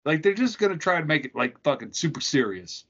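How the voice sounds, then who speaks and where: Brief: bantering man in his thirties, slightly high, lively voice, somewhat muffled home sound. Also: brisk. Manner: loose, laughing, swearing banter. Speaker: podcast co-host. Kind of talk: casual profane chat. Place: home room, remote video call.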